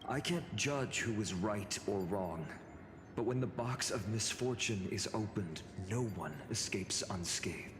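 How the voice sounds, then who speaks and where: A man voicing a calm, reflective adventurer in a fantasy video game, speaking in a measured, solemn way. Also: on the low side. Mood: somber, reflective. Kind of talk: scripted video game voice acting. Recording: echoey reverberant hall, music behind.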